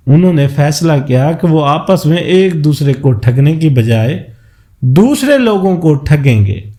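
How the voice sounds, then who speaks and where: A man's voice: on the high side, mellow. A man narrating a scripted moral folk tale as a storyteller.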